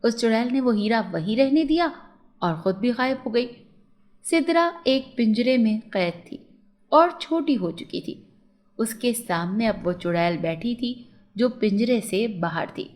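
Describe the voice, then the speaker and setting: A woman tells a scripted story for children. Her voice is somewhat high, and she works as the narrator of a moral tale. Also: lively intonation, unhurried, darkish tone.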